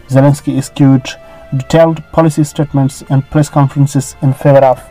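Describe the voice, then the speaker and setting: A man narrating a scripted biography in a steady, even reading. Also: on the high side, rough recording.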